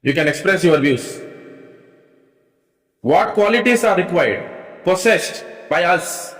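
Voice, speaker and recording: A man's voice: sits high, expressive, slow, fairly bright tone. A man leading a workshop for teachers, earnestly repeating key points and inviting answers from the room. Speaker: teacher trainer. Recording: echoey hall with long reverb.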